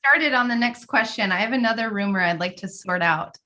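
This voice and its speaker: Female co-host, playful and teasing, bright, slightly high voice. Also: lively intonation, brisk. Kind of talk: casual live-stream chat.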